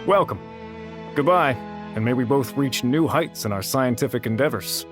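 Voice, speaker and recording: A dismissive male scientist, as an actor plays him, clipped and dry in his replies. He speaks at an ordinary, middle pitch. Clear game music runs behind him. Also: expressive, normal speed, close to an everyday voice. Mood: sardonic. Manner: curt and sardonic. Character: cagey, dismissive adult male researcher. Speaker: researcher. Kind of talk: video game voice acting.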